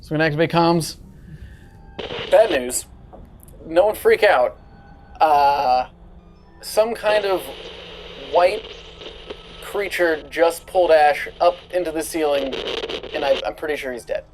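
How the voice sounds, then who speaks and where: A woman's voice: low voice, slow, pauses often, darkish tone, slight background noise, poor audio. A woman chatting casually and speaking for her character as a player in a tabletop role-playing game.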